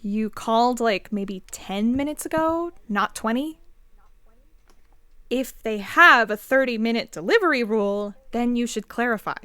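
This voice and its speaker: A woman's voice: fairly high pitch, expressive, measured pace, a bit bright, no echo. A young woman with an American accent acting an exasperated, overworked, demanding girlfriend in a naturalistic audio play, pointed and insistent. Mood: critical, curt.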